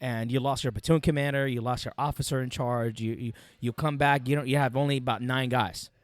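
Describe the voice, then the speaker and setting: A man's voice: mid-range voice, steady tone, brisk, dry recording. A man in his thirties from Iraq, a native Arabic speaker with an Iraqi accent, tells a personal war story in an interview, emphatically and with repeated phrases. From Iraq, Baghdad. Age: 30s. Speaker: podcast guest, military veteran.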